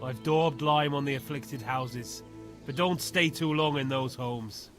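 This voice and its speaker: An actor playing a weary, distrustful medieval village leader in a video game, grave and blunt. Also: male voice, mid-range voice, a little slow, fairly bright tone, soft background music, natural voice. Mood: worried, weary.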